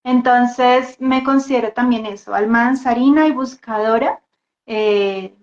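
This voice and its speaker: A woman with a Bogotá Colombian accent introduces herself casually and reflectively, halting and repeating words as she goes. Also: fairly high pitch, a little mellow. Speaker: guest dance therapist. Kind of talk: casual personal introduction in a live talk. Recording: echoey room, dull muffled audio.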